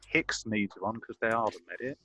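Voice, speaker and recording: A man's voice: fairly low pitch, moderate pace, noisy background. A man chatting casually as a player in an online tabletop game over a group call. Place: indoors at home, on a video call.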